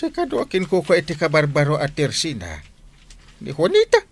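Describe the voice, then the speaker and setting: A man's voice: a little high, wildly swooping pitch, a little fast. A man with an Ilocano accent playing a blustering, exclamatory barangay captain in a comedy drama. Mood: official, flustered. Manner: exclamatory, sputtering.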